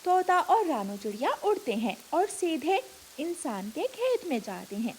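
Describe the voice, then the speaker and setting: A woman's voice: high voice, very animated, unhurried, darkish tone, a little hiss or hum. A woman reading scripted narration for a children's cartoon story.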